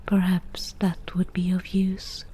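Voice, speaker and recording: Woman acting a low, whispering forest witch. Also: completely flat delivery, mellow, slightly rough. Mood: grudging, wry. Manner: whispered, dry teasing, unhurried. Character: wry, teasing forest witch brewing potions. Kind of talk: ASMR roleplay, softly whispered.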